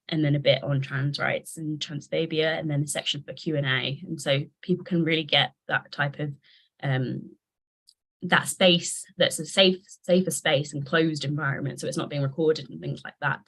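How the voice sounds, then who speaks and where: Liberation officer on a video call, mid pitch, measured, hedgy answers. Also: creaky, fairly bright tone. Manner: measured, hedging with "kind of". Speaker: party liberation officer, interview guest. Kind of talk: livestream interview answer.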